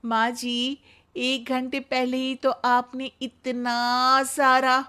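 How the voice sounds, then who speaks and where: A woman acts out a young Indian daughter-in-law in a comic cartoon tale. Her voice is fairly high and unhurried. She is dutiful and anxious, protesting politely with exaggerated delivery. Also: completely flat delivery, bright, forceful tone, clean tone, heavily acted. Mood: flustered. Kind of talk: comic animated moral story acting.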